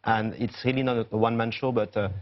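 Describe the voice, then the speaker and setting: A man, a chef and restaurant owner, answering questions in a TV news studio, pausing often with fillers. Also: lowish voice, fairly even intonation, lo-fi sound. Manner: hesitant, many fillers and restarts. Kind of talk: studio news interview guest.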